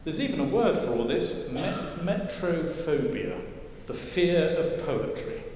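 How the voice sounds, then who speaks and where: An older man preaching a sermon from a church lectern, wry and gently humorous as he tells stories. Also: on the high side, very animated, measured pace, slight background noise. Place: large stone church, at a lectern. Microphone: lectern mic, far camera. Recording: echoey church with long reverb.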